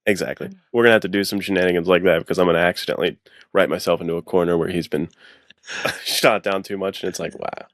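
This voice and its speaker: A man's voice: low voice, fairly even intonation, fast talker, no echo, studio quality. A man, an author on a podcast, chatting casually in an enthusiastic, slangy, self-deprecating way. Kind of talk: casual chat.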